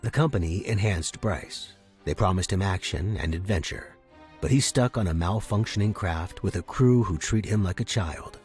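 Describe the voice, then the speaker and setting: A man's voice: sits low, moderate pace, darkish tone, soft background music. A man hosting a fiction podcast, chatting informally and reading aloud. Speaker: podcast host and story reader. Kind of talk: casual podcast intro and dramatic story narration.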